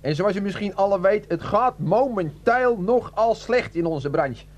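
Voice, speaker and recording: An actor playing a sheepish used-car dealer, hesitant and long-winded, in a satirical radio play. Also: male voice, high voice, animated, unhurried.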